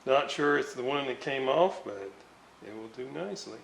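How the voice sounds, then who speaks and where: A man giving an unscripted hands-on demonstration at a workbench, halting and muttering to himself as he works. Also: mid-range voice, animated, vocal fry, a bit bright. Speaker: radio restorer. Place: indoor room, at a workbench. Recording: roomy, noisy home recording.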